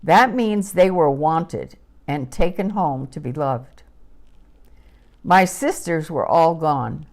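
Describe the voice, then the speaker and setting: A woman voicing a gentle, wistful little female puppy, telling a children's story in simple, childlike words. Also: deep voice. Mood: wistful, lonely. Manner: slow, expressive, simple childlike phrasing. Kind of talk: children's audio story narration.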